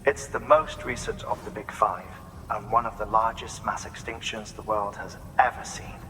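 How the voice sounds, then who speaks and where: A bright, crisply forward scientist's voice rings with long reverb over faint noise. He is courteous, formal and precise, lecturing in a video game. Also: on the low side, fairly even intonation, moderate pace. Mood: fascinated lecturing. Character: courteous, erudite male scientist, gently intense. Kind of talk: dramatic video game exposition.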